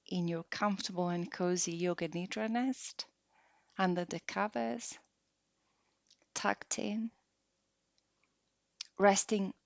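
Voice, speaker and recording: A woman guiding a meditation practice softly and unhurriedly, leaving long pauses between short phrases. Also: lowish voice, vocal fry, no echo. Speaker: yoga nidra meditation guide. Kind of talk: slow guided meditation. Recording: dull lo-fi close dry recording.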